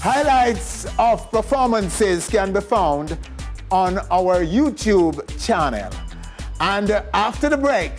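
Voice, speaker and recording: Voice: medium pitch, sing-song, slow, soft background music. A programme presenter reading scripted broadcast narration.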